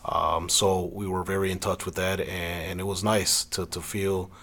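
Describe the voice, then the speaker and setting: Deep, flat-voiced man. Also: moderate pace. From Cleveland, Ohio, USA. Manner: hesitant, filler-heavy. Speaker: panelist. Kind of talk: casual panel discussion.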